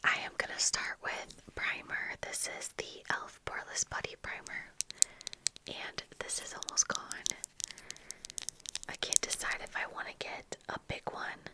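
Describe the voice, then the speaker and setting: A young woman whispering a casual, rambling ASMR get-ready chat, breathy and unhurried, close to the microphone. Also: poor audio. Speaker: ASMR video creator. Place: small indoor room with bookshelves. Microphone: close fluffy-covered ASMR microphone, earphones worn.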